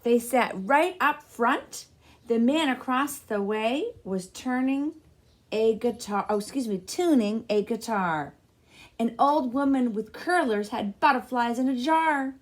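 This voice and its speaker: A woman narrates a children's picture book. Her voice sits somewhat high and moves very slowly. She phrases each line deliberately and expressively, as a read-aloud storyteller. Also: animated.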